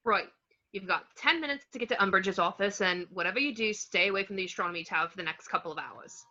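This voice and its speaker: Woman as bright, cheeky teenage prankster boy. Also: mid-range voice, quick pace, natural voice. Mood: mischievous. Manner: cheeky, breezy. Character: cocky, mischievous teenage twin brothers. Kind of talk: amateur online screenplay table read. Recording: slightly dull lo-fi home microphone.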